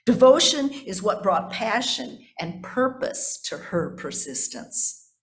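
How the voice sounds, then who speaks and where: An older woman pastor giving a reflective sermon, deliberate and emphatic, with conversational asides and folksy questions to her listeners. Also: medium pitch.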